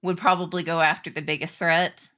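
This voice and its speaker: A woman game master improvising narration and rules in a halting, conversational way. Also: on the low side, flat delivery, bright, forceful tone. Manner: halting, conversational, pieced-together descriptions. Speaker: game master of a tabletop roleplaying game.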